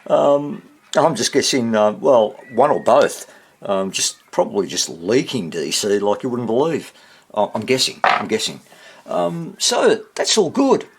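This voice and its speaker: A man talks casually through a job at his workbench. His voice is husky and middling in pitch, swinging freely up and down as he mutters along informally. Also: big pitch swings, unhurried. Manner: informal, muttering to himself as he works. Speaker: hobbyist radio repairer.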